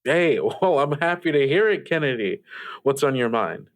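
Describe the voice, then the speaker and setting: A man acts out an easygoing host of a late call-in radio show. He speaks conversationally at a middle pitch, hesitating as he searches for words. He is supportive and reassuring with his callers. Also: lively intonation, moderate pace, lightly acted. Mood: friendly, welcoming. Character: easygoing, supportive male radio DJ in a strange world. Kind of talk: improvised radio show acting.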